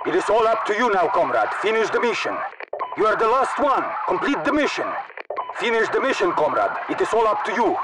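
An actor playing a stern, fervent Soviet special forces announcer in a video game, with a Russian accent and clipped, commanding delivery. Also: male voice, high voice, very animated, fairly quick, heavy background noise. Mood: firm, measured. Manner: clipped, commanding, urgent barked phrases.

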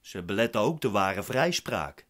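An actor playing a wary, businesslike accused bank employee in a radio play, formal, curt and questioning. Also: male voice, fairly low pitch, very animated, normal speed, fairly bright tone. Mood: wary, reserved.

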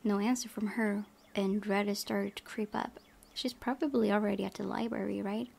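A woman reading visual novel narration aloud as a let's play host, softly, with casual asides. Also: medium pitch, steady tone, normal speed, vocal fry, breathy edge.

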